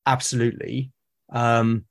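A British man speaking as a podcast guest in casual conversation, haltingly and often repeating words as he thinks aloud. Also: medium pitch, flat delivery, creaky. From Britain. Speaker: podcast guest, solo roleplaying gamer.

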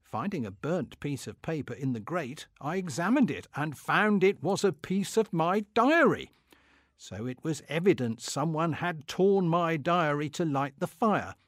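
Male actor as fussy Victorian clerk, middle-aged, pompous, easily affronted, very animated delivery, comic diary reading, dry sound. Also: a little high, measured pace, no room sound. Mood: aggrieved. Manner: pompous, indignant, self-satisfied.

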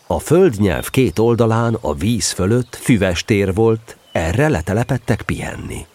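A man narrating a children's story as an expressive, scripted audio drama narrator. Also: fairly low pitch.

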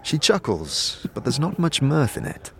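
A man's voice: medium pitch, wildly swooping pitch, measured pace. A man narrating a scripted fantasy audio drama in the second person, as a storyteller. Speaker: narrator and lead player. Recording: faint background noise and ambience.

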